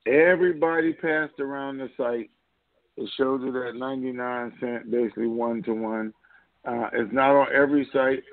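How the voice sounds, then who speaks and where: A man's voice: mid-range voice, steady tone, moderate pace, no room sound. A man co-hosting a call show, giving informal opinions emphatically with repeated phrases and rhetorical questions over a phone conference line. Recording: muffled lo-fi conference call audio.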